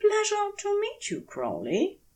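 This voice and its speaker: A woman voicing a courteous, earnest gentleman who sounds hesitant and slightly flustered, in a story reading. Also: extremely high pitch, huge pitch swings, unhurried, voice pushed up, exaggerated voice. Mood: polite, flustered.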